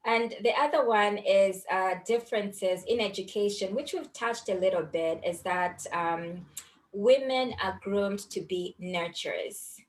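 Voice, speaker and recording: A woman's voice: medium pitch, a little slow, rough recording. Hesitant woman hosting a discussion. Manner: hesitant, many fillers, asks questions. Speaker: host. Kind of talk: casual discussion.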